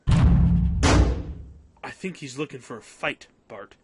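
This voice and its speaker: A man's voice: mid-range voice, animated, drawn-out words, over loud music. A man acting an anxious young mech pilot in a fan radio drama. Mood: alarmed.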